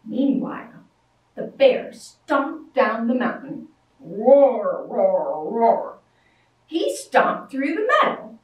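Animated sing-song storytime woman. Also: fairly high pitch, drawn-out words, recorded from a distance. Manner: animated, sing-song, acts out shouts and roars. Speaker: children's librarian. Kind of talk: storytime read-aloud for young children. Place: library storytime room with toys. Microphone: far camera. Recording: echoey room, voice from afar.

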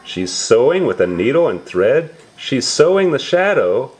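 A man reading a picture book aloud to young children, explaining it in simple, repeated, drawn-out words. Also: mid-range voice, sing-song, a little mellow. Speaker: storybook reader for young relatives.

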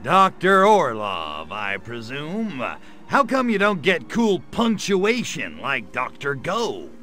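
A man voicing a sarcastic gray alien invader in a video game cutscene, delivering deadpan quips. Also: on the high side, takes it slowly, full character voice. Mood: sardonic.

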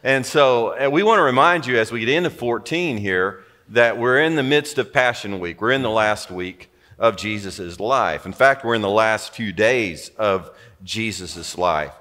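An older man, a pastor, co-teaching a sermon in a relaxed, reflective, conversational way in a large church auditorium. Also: fairly low pitch, moderate pace. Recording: long hall reverb.

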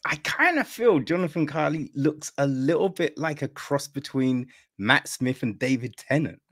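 An older man chatting casually as the interviewer in a relaxed, informal conversation. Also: on the high side, moderate pace. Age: older, 50s or 60s. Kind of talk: casual chat. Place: room at home, video call.

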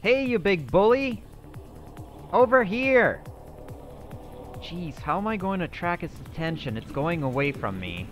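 Guy roleplaying a game character, slow-talking but jumpy, bright voice swinging up and down. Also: sits high, faint music behind. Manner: excitable, thinks aloud to himself.

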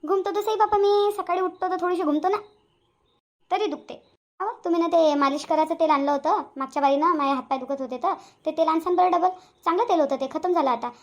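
A woman's voice: very high-pitched, fast talker. A woman voicing a complaining older village wife in a cartoon, plaintive and demanding, with a Varhadi accent. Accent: Varhadi, Vidarbha.

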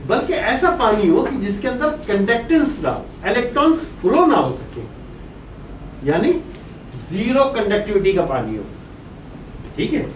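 An older man with a Pakistani Urdu accent lecturing at a whiteboard through a face mask, quizzing his class and answering himself. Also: high-pitched. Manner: asks the class questions and answers them himself; talks through a face mask while writing on the board. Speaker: professor lecturing on boiler chemistry. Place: brick-walled meeting room indoors. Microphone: distant room mic. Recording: echoey room from far off with noise.

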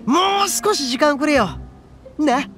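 A loud, cocky horned oni boss in a game, rough and full of swagger. The voice sits high, swings widely and rings bright over faint game music. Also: male voice, lively intonation, a little slow, heavily acted. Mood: flustered. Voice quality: rough, swaggering, calls himself grandly. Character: brash boastful horned oni gang boss, male adult. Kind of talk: Japanese game voice acting.

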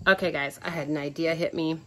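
A woman's voice: deep voice, steady tone, fast, over loud music. A woman in her forties filming her furniture flipping vlog on a phone in a cluttered garage workshop, talking casually with lots of ums as she works. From American Midwest. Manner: chatty, lots of ums, talks while working. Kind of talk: casual walk-through vlog. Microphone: phone camera, often held at arm's length.

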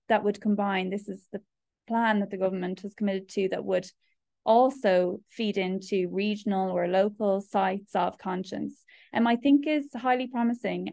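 Woman in her 30s, webinar guest, measured and earnest, creaky low edges. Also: a little mellow. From Ireland. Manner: earnest, measured, frequent ums. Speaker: guest speaker, barrister and lecturer. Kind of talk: webinar discussion. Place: home room with framed pictures. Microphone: laptop webcam on a video call.

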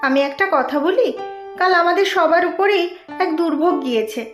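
A woman voicing an anxious, caring village wife in a cartoon, expressive and homely, with a roomy echo. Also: very high-pitched, brisk, music in the background. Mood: relieved, warm. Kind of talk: Bengali cartoon voice acting.

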